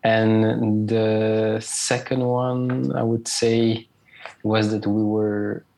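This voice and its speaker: Hesitant low gravelly young man. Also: level tone, very slow, darkish tone, rough recording. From Switzerland. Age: 20s. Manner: hesitant, many fillers and repeated words. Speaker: interview guest, school alumnus. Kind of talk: casual interview answers.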